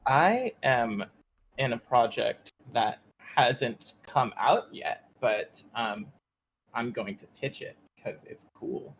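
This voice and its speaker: A man's voice: mid-range voice, animated, slow pace, a bit bright. A man who works as a voice actor, chatting casually and hesitantly on a panel, rambling a little with informal language. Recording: noisy convention stream audio.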